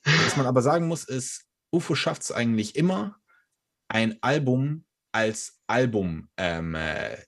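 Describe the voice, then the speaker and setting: A man's voice: medium pitch, expressive, measured pace, a bit bright. A young man in casual chat, laid-back and slangy, rambling with many fillers. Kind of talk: casual chat about rap albums.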